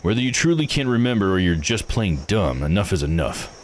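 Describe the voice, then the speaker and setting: Man playing a deep, blunt, gruff guy. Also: very animated, a little fast, slight background noise. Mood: stern, accusing. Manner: blunt, plain-spoken, probing.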